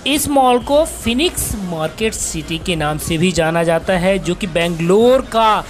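Male travel vlogger with a chatty guide delivery that keeps restating points, soft music underneath. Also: sits high, expressive, a little slow. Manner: chatty, keeps rephrasing himself. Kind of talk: walking tour narration.

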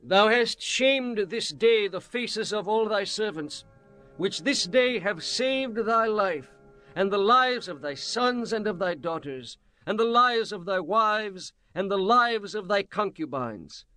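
A man's voice: high-pitched, expressive, bright, forceful tone, faint music behind, exaggerated voice. A man playing a blunt, indignant military commander in a scripture audio drama, declaiming in archaic language. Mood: stern, indignant. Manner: slow, declamatory archaic speech.

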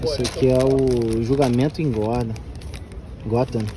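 A man with a Carioca accent vlogging from an outdoor flea market, talking excitedly in quick slangy bursts and exclaiming often. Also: mid-range voice, a crowd in the background, low-quality recording. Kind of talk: casual handheld market vlog. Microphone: phone camera held in hand.

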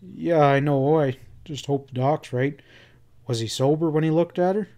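A man voicing a grave, wounded cowboy in a Western audiobook, quiet and plain-spoken. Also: fairly high pitch, normal speed, lightly acted. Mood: worried. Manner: quiet, rustic Western speech.

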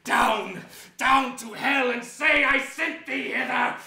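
A man's voice: very high-pitched, slow pace, vocal fry, pushed and bright, full character voice. An actor playing a gleefully wicked, murderous royal villain in a theatrical Shakespearean stage reading, mocking and declamatory as he savors his words. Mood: gloating, menacing. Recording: echoey theatre stage recording.

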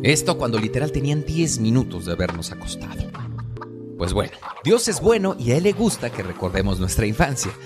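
A man with a Mexican accent delivering a scripted comedic monologue as a podcast host, in a tongue-in-cheek, mock-formal way. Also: medium pitch.

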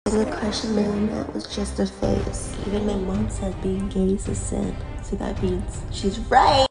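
Woman as a snarky, creaky-voiced cartoon girl. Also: mid-range voice, fairly even intonation, a little slow, music in the background. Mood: scornful. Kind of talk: parody fan dub of a cartoon. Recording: echoey room sound.

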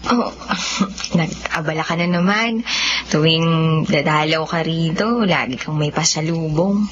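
A woman's voice: deep voice, measured pace, slight background noise. A woman playing a tender, self-doubting young blind woman in love in a Filipino radio romance, with hesitant, emotional delivery. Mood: shy, pleased. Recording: muffled radio drama audio under music.

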